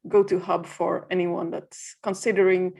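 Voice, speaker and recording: A woman in her thirties from Finland, a native Swedish speaker, talks about her work in a plain, matter-of-fact way. Her voice sits fairly low and has a gravelly, creaky edge, with small "uh" pauses between thoughts. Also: level tone, a little mellow. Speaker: guest, freelancer community co-founder. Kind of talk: podcast interview.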